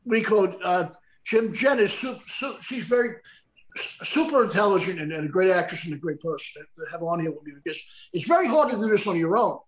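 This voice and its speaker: A man's voice: high-pitched, expressive, a little fast, a crowd in the background, rough recording. A man hosting a podcast in casual chat over a video call, rambling with lots of stumbling restarts. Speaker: podcast host.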